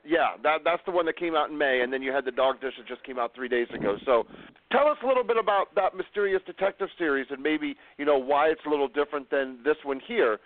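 A chatty man in his forties hosts a casual interview, rambling a little as he frames questions, in a slightly higher voice. The audio is phone-like and dry. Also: fast talker, no room sound. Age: 40s. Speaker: podcast host. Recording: tinny phone-line sound, dry.

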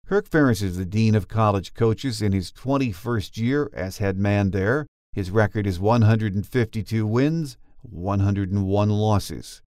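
A man's voice: on the low side, darkish tone, clean tone, dry recording, clean studio sound. An American man hosting a sports podcast, moving between chat and scripted notes. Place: dry studio.

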